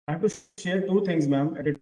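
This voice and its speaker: A man from Punjab with a Punjabi accent, giving an online lecture over a video call and pausing often with fillers. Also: fairly high pitch, dark tone. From Punjab, India. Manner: hesitant, many filler pauses. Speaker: guest lecturer.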